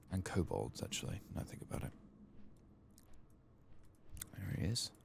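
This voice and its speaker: A man giving soft-spoken ASMR game commentary, murmuring and at times drifting toward a whisper. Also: deep voice, very animated, unhurried, creaky, slight background noise. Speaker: gaming video host.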